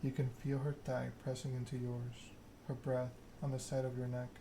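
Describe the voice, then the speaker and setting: A man narrating a story game aloud in ASMR style, reading in a measured way with occasional stumbles. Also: mid-range voice, monotone, noisy background.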